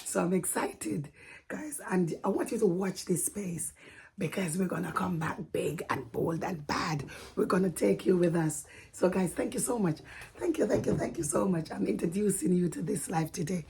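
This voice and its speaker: A woman hosting a casual travel vlog, her voice almost gone, hoarse and whispery, greeting viewers in an excitable sing-song way. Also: on the low side, moderate pace. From Jamaica. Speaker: vlog host.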